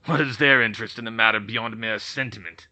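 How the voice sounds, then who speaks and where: A man's voice: on the low side, a little fast, sharp tone. A man voicing a haughty, scornful male goblin ambassador who growls his words. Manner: growling, contemptuous.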